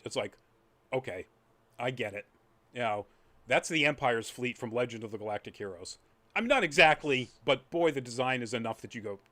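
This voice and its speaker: A man's voice: medium pitch, a bit bright. Rambling man chatting casually. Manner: rambling, trailing off into asides. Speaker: podcast host reviewing anime. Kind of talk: casual chat review.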